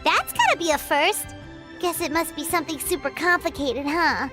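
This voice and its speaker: A woman voicing a small, childlike, excitable fairy companion in an English video game dub, with a squeaky voice. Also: moderate pace. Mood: curious, concerned. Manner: refers to herself in the third person, exclamatory. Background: music.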